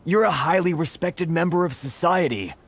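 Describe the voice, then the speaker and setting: An actor voicing a worried foreign merchant in a game, who sighs often and sounds apologetic. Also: male voice, fairly high pitch, moderate pace, slightly rough. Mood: anxious. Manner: fretful, sighing and apologetic. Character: anxious foreign merchant, sighing and put-upon. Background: game music.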